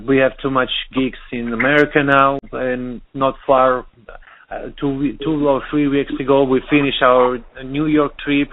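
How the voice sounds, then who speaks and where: A man with a Russian accent speaks in halting, non-native English over a thin, tinny phone line. He often stops to search for words, at an ordinary mid pitch. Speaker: DJ and producer.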